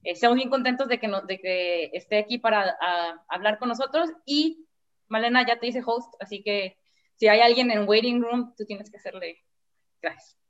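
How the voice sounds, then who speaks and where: A woman's voice: on the high side, fairly quick, dull audio. A woman introducing a guest speaker on a video call, hesitant with frequent fillers.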